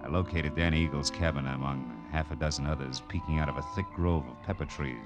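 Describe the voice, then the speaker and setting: Deep-voiced, hardboiled private detective. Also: male voice, brisk, over loud music. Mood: wry narration. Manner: terse, dry, world-weary. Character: tough, wry male private detective. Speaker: lead actor and narrator. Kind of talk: hardboiled 1940s radio drama acting.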